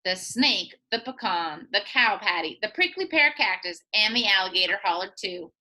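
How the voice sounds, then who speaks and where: Animated bright-voiced woman reading a storybook. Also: medium pitch, normal speed. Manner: playful, expressive, with whispered asides to young listeners. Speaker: early childhood teacher reading a picture book aloud. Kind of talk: animated children's storybook read-aloud.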